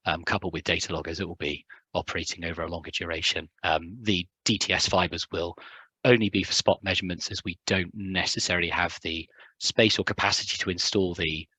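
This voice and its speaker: A young man, a hydrogeologist, giving a conference talk in a hoarse, recovering voice, hesitant with many ums and restarts. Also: sits low, bright, forceful tone, dry recording. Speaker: hydrogeologist presenting at a symposium. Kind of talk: conference talk with filler words.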